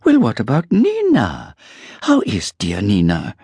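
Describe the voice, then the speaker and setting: A man voicing a fussy, genteel old woman landlady who pries sweetly, with drawn-out sing-song lines. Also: on the low side, wildly swooping pitch, warm tone, husky, no echo, voice pushed up, exaggerated voice. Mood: wistful prying. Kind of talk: audiobook character voice.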